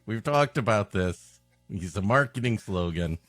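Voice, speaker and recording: A man's voice: lowish voice, lively intonation, a little slow, fairly bright tone, no echo, clean studio sound, soft background music. A man co-hosting a comedy podcast, riffing in a sarcastic, mock-exasperated way. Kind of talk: joking riffing on a story being read.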